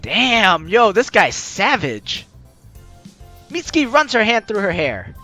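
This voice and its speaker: A playful, slangy gaming host reading a visual novel aloud and reacting in an animated way. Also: mid-range voice, slow pace. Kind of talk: lively let's play, reading aloud and reacting. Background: game music.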